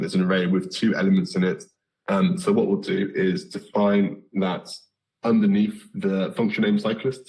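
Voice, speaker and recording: Hoarse, deep-voiced British man teaching code. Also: poor audio. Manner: relaxed, lots of ums and self-corrections. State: hoarse and nasal after flu. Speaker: coding instructor. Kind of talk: live coding tutorial.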